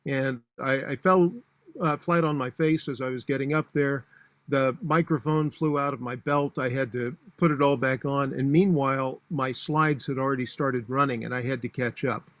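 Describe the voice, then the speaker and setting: A man in his sixties with a booming voice, giving practical, matter-of-fact advice on public speaking with frequent fillers. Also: steady tone, normal speed, a bit bright, no room sound. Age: 60s. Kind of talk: instructional talk.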